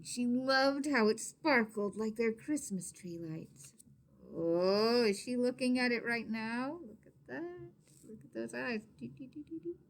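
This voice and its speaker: Animated, higher-voiced woman reading to children. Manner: animated, pauses to question and explain to young listeners. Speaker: read-aloud storyteller, teacher. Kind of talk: expressive children's picture book read-aloud with asides to listeners.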